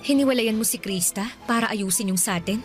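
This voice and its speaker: A high, fast voice of a wounded young woman, played by an actress in a radio drama, snapping back with sharp, reproachful lines. She keeps her hurt close under quick retorts. Faint music plays underneath. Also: lightly acted. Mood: bitter, hurt. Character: hurt young woman confronting a lying ex-crush. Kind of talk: Tagalog radio drama acting.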